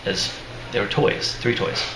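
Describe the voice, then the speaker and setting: A man, an author at a live reading, introducing his book in a casual, self-deprecating way with chuckling asides and a voice that sounds worn out. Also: a little slow. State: strained, tired voice. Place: live reading venue with audience. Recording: echoey room, noisy ambience.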